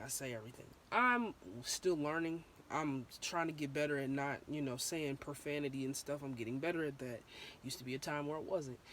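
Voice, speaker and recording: A young woman with a sore, slightly hoarse throat, talking through her opinions in a candid, rambling vlog full of ums and restarts. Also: sits low, a bit bright, a little hiss or hum. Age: young adult. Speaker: vlogger.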